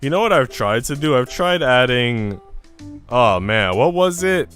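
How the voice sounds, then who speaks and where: A young man talks in a medium-pitched voice over soft music. He is livestreaming a game, moving loosely between reading story text and informal, rambling chat full of slang. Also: expressive, unhurried. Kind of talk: casual livestream chat while reading a visual novel aloud.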